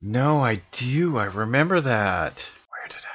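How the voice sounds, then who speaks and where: A man improvises in a role-play podcast with casual, slangy delivery and lively swings in pitch. His audio is dry and a little dull. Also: measured pace, dry recording. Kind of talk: casual improvised in-character dialogue.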